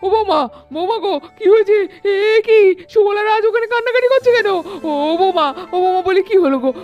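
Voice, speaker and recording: Casting: querulous old village granny for a cartoon, extremely high voice, dull muffled recording. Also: female voice, brisk. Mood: anxious, plaintive. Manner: plaintive, calling out. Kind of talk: melodramatic doll-story cartoon acting.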